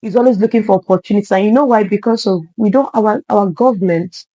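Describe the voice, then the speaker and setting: A woman's voice: medium pitch, fast talker, warm tone, no room sound, muffled recording. A woman from Lagos with a Nigerian accent, telling personal stories as an interview guest and repeating phrases for emphasis. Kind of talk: conversational personal storytelling.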